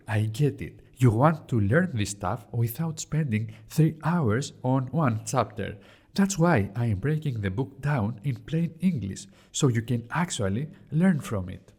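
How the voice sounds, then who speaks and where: A man's voice: mid-range voice, darkish tone, breathy edge. A man with a Greek accent, narrating a scripted explainer on an investing book in plain, direct words. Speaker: host of investing book series.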